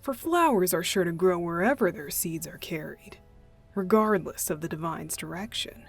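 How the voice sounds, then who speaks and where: A woman voicing a playful, mischievous young male deacon in an unhurried, teasing ASMR roleplay style. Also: lowish voice, expressive, faint music behind. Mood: amused, teasing. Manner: teasing, unhurried, chuckling, breathy asides.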